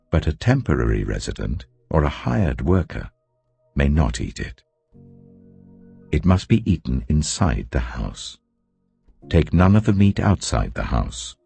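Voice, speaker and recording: English male reader voicing a stern divine lawgiver, deep husky voice, firm and commanding. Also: mellow, exaggerated voice.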